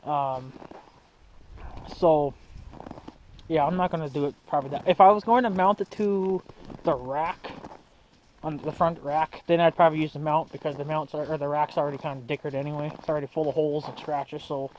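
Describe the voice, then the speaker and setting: Higher-pitched young man, muffled noisy recording. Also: steady tone, a little fast. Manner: rambling, slangy, thinking aloud. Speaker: hobbyist video host. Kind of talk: casual vlog chat.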